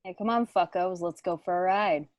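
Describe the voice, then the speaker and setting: Lady playing a crude gangster man in a radio drama, kinda low voice, cocky and irritable, no room echo. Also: normal speed, natural voice. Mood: cocky, dismissive. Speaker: amateur actor in a remote table read. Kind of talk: radio play table read.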